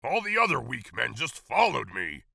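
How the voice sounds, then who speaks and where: A man voicing a man-eating giant mantis monster in disguise, with a gravelly, mocking, hungry menace. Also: mid-range voice, huge pitch swings, moderate pace, bright, forceful tone, dry recording, studio quality, exaggerated voice. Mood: indignant. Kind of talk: audio drama acting.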